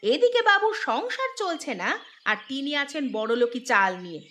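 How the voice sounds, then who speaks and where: Nagging sharp-tongued village wife voiced by a woman. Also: high voice, big pitch swings, normal speed. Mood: scolding. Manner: scolding, sarcastic. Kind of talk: Bengali cartoon story dialogue. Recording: clear music bed behind voice.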